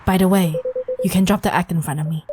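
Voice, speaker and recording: A woman voicing a disguised male alien visitor in a visual novel, cool, cryptic and measured. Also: lowish voice, fairly even intonation, background noise, pitched below the performer's own voice, lightly acted.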